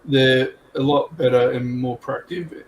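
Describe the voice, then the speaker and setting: A man's voice: mid-range voice, steady tone, a little slow. A man chatting casually on a livestream panel, rambling and often qualifying what he says. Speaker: tournament organiser on a livestream panel. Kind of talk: casual chat. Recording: roomy echo, background noise.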